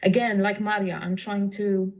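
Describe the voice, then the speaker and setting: Woman, thoughtful, searching for words, mid-pitched voice, dull lo-fi audio. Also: little pitch movement, normal speed, darkish tone. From Poland. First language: Polish. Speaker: writer and translator. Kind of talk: reflective conversation about language.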